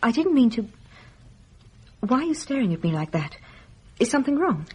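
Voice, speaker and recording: A woman's voice: on the high side, very animated, moderate pace, husky. An actress playing a timid young village woman in a radio drama, hesitant, deferential and uneasy.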